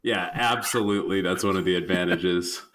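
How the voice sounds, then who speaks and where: A man playing a tabletop roleplaying game, improvising his actions casually and haltingly as he thinks aloud. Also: low voice, steady tone, background noise.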